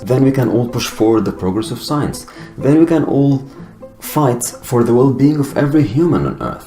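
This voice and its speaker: A young man with an Arabic accent, a native Arabic speaker, talking earnestly to camera in his own video with deliberate pauses. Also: medium pitch, warm tone. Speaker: YouTuber. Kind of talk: personal video monologue. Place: Finland. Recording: echoey room with guitar music behind.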